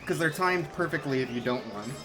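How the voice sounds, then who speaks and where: A man's voice: a little high, a little fast. A man giving casual, joking live speedrun commentary with a sarcastic edge. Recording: game music playing behind.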